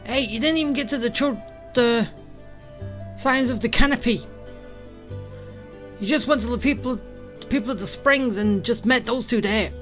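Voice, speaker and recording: A game streamer giving casual playthrough commentary in muttered, deadpan asides. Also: mid-range voice, moderate pace, long pauses. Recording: game music behind the voice.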